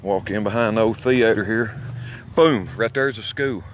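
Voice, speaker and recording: A man's voice: fairly low pitch, normal speed. A man with an Alabama Southern accent giving a rambling, folksy, boastful and coarse commentary as he walks outdoors. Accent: Alabama Southern American. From Alabama, United States. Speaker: amateur vlogger. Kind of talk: rambling walk-along commentary. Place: outdoors, walking along small-town streets. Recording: noisy outdoor recording with traffic sounds. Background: outdoor ambience, passing vehicles.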